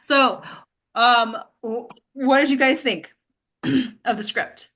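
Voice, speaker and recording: A woman's voice: fairly high pitch, steady tone, slow, pushed and bright. A woman hosting a casual discussion, thinking aloud informally and often correcting herself as she goes. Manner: hesitant, self-correcting, informal. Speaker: host and director of a table-read discussion.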